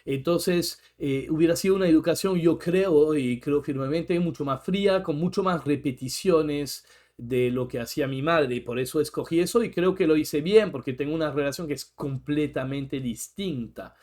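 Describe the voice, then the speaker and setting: High-voiced man. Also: moderate pace, darkish tone. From French-speaking country. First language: French. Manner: thoughtful, with restarts. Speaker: podcast co-host. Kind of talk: reflective conversation.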